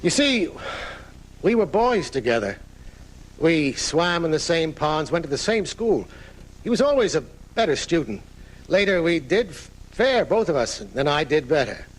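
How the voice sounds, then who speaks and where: A man's voice: on the high side, very animated, measured pace. An actor playing an aging famous writer in a live radio drama, telling his story in a florid, dramatic way. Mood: grandly reminiscing. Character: aging famous male writer recounting his own murder, theatrical. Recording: noisy 1980s off-air radio recording.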